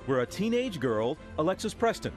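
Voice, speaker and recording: Lively scripted male TV narrator. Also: fairly high pitch, moderate pace, soft background music. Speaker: TV reporter narrating a feature.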